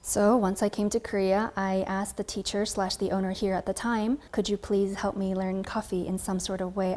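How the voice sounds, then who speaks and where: Woman in her twenties, American accent, medium pitch, casual storytelling, chatty and reflective, faint ambient noise. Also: a little mellow. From United States, California. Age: 20s. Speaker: coffee content creator and barista. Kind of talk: casual personal storytelling to camera.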